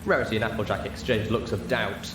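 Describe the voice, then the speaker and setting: A man reading a story aloud as narrator, in an expressive scripted style. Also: on the low side, fast talker. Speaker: audiobook narrator. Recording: roomy, echoey, clear background noise.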